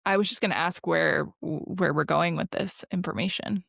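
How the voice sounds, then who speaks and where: A woman co-hosting a podcast, chatting casually with dry, deadpan quips. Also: lowish voice, normal speed, gravelly, dry recording. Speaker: podcast co-host. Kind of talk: casual chat.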